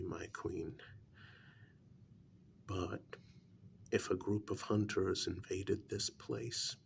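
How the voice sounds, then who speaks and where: A man playing an intense, devoted human familiar in a soft-spoken ASMR role-play, speaking in a slow, hushed, deliberate half-whisper with gravel in it. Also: low voice, slight background noise, full character voice. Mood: resolute, protective.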